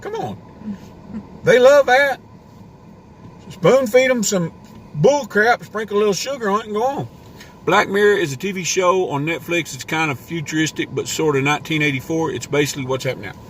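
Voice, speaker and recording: A man's voice: sits high, a little fast. Man, live stream host, ranting and rambling, worn voice, parked car, faint background noise. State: voice getting worn. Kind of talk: casual live stream rant.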